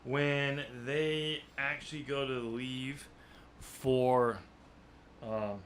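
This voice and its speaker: Man rambling haltingly in casual talk. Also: medium pitch, level tone, a bit bright, a little hiss or hum. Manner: halting, rambling, thinking aloud. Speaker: podcast host and amateur novelist. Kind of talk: casual, unscripted chapter discussion.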